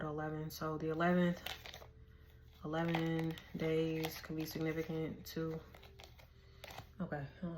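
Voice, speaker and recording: A woman's voice: lowish voice, noisy background. A woman, giving a casual, slangy tarot reading and thinking aloud as she goes. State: hoarse. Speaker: tarot reader.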